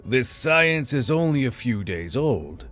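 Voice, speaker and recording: A man's voice: mid-range voice, sing-song, slow pace, a bit bright. A man voicing a hopeful male dwarf engineer prince in a fantasy audiobook. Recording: music behind the voice.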